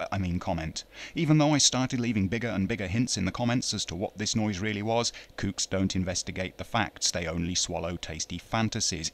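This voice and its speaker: A man reading scripted rebuttal commentary in an articulate, sardonic and mocking way. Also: sits low. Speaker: video creator narrating.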